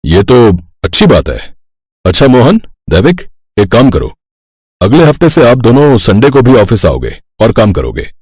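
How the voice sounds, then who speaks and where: A man's voice: mid-range voice, very animated, normal speed, a little mellow, breathy edge, dry recording, studio quality. A man playing a stern, fair-minded boss in a family cartoon, authoritative and scolding. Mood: commanding.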